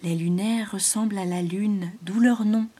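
A woman voicing a gentle, weary male python who speaks in a soft, breathy whisper. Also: mid-range voice, fairly even intonation. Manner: slow, hushed and plaintive.

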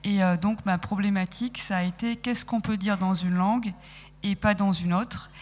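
Woman in her 30s on the radio, thinks out loud, halting, echoey room. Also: mid-range voice, steady tone. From France. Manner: thoughtful, halting, self-correcting. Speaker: guest, sound podcast maker. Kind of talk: radio interview conversation.

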